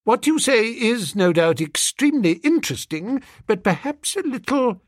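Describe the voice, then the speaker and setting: A man voicing a stern, cold schoolmistress who speaks in a low, soft, even and firm way, in a storybook reading. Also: normal speed, no room sound, clean studio sound, heavily acted. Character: stern, icy middle-aged schoolmistress, woman.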